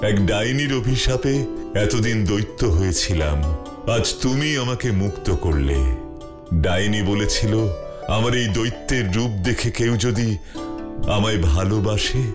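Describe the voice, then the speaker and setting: A man voicing a gentle, lovelorn enchanted beast in a cartoon fairy tale, speaking slowly and earnestly. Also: fairly low pitch, lightly acted. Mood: relieved, loving. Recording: music behind the voice.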